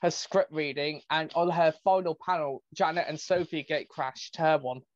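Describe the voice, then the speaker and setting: A man in his twenties chatting excitedly as a podcast host. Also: on the high side, no echo. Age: 20s. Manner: excited, rapid fan chatter. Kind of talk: casual podcast chat. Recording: dull, dry lo-fi sound.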